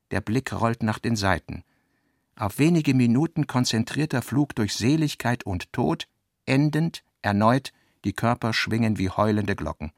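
A man narrating a literary radio play with deliberate, dramatic phrasing and pauses. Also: mid-range voice, creaky, no room sound, clean studio sound.

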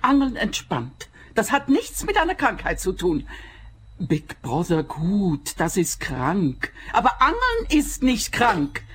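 A woman's voice: medium pitch, wildly swooping pitch, slow, a little hiss or hum. An actress playing a suspicious, irascible and eccentric older woman in a radio play, with a theatrical manner. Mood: defensive. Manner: prickly, theatrical.

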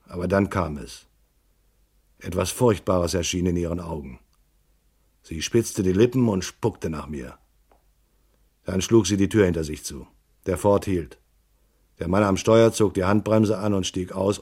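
Radio crime drama: plain-spoken, tough detective narrator, deep-voiced and dry. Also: male voice, darkish tone, slightly rough. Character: hard-boiled male private detective narrating his case.

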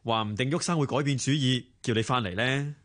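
An actor playing a kindly office manager in a radio drama, soothing and encouraging, with a Hong Kong Cantonese accent. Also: male voice, medium pitch, fairly quick, fairly bright tone, lightly acted.

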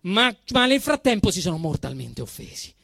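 A man's voice: sits high, big pitch swings, moderate pace, vocal fry, sharp tone, no room sound, studio quality. A male actor with a Roman Italian accent delivers a theatrical satirical monologue, telling it in an emphatic, indignant, mock-solemn manner with dramatic swings. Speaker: actor performing a comic monologue.

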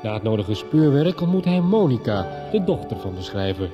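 A man's voice: medium pitch, sing-song, moderate pace, close to an everyday voice. A man narrating the story so far in a radio soap, in a scripted storytelling style. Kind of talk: radio drama recap narration. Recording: dull 1990s radio recording. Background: music.

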